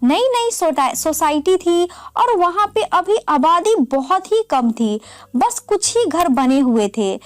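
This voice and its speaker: A woman's voice: extremely high pitch, sing-song, slow, no echo. A woman narrating a scripted, emotional first-person family story in a dramatic storytelling manner.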